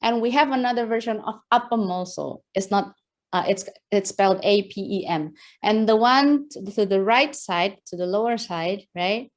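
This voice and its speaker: A woman's voice: medium pitch, normal speed. A woman from West Java with an Indonesian accent, giving a lecture and quizzing her audience with questions. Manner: engaging, quizzes the audience, frequent rhetorical "right?"; enthusiastic, laughs often. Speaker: guest lecturer.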